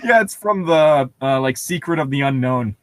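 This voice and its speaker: A man's voice: mid-range voice, lively intonation, normal speed, fairly bright tone, no echo, clean studio sound. A young adult man co-hosting a comedic fan fiction reading, joking casually, giggly, incredulous and prone to swearing. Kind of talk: casual, joking commentary and read-aloud.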